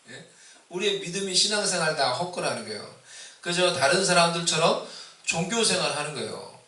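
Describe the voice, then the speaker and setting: Male pastor from Seoul, Easter sermon, ringing bright tone, distant mic in an echoey church hall. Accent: Seoul Korean with a mix of Busan dialect. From Seoul, Korea. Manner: rises loud and high on exhortations, asks the congregation questions.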